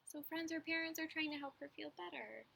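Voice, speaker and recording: A woman reading a picture book aloud to children in an expressive, teacherly way, pausing to put questions to her young listeners. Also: high-pitched, gravelly, slight background noise. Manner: addresses young listeners as friends, instructive.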